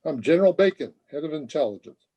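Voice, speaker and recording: A man playing a courteous, brisk army general, formal and authoritative, in old-time radio comedy style. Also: on the high side, animated, dark tone, rough recording. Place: at home on a video call.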